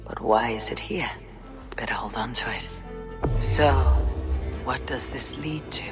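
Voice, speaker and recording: An actor voicing a calm, thoughtful Viking warrior who murmurs clues to himself in a video game. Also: male voice, mid-range voice, lightly acted. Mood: musing. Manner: measured, muttering thoughts half-whispered. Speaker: game protagonist. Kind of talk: video game cutscene acting. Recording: game audio with music.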